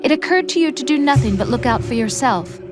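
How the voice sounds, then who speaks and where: An actress playing a cruel artificial intelligence posing as a scornful young girlfriend in a video game, speaking with deliberate reproach. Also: high voice, animated, music in the background. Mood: bitter, reproachful. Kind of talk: dramatic game cutscene acting.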